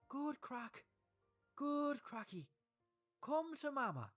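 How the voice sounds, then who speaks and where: A woman reading a children's storybook aloud in an expressive, dramatic way. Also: mid-range voice, husky. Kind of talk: scripted children's storybook narration. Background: music.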